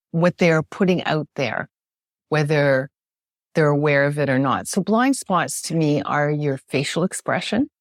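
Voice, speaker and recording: Woman guest with a big loud voice, slightly low, energetic explainer style, dry close mic. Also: dry recording. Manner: emphatic, animated, frequent tag question "right?". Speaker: communication specialist guest. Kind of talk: podcast interview, explaining with examples.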